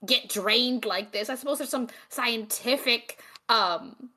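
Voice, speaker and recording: A woman chatting in character in a tabletop game, halting and repeating her words. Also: on the high side, pushed and bright. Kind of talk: casual in-character role-play chat.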